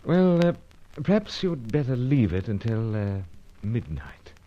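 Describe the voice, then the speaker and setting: An actor playing a gentlemanly, dryly understated British secret agent, with clipped old-fashioned speech, in a radio adventure serial. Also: male voice, mid-range voice, very animated, a little slow, lo-fi sound. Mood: evasive, hesitant.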